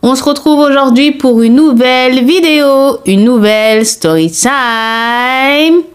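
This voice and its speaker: A woman's voice: sits high, takes it slowly, clean tone. A woman hosting a horror story channel, talking straight to her viewers in an earnest, hesitant way, full of fillers and restarts, and firm when she asks them to stop. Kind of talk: casual direct-to-camera monologue.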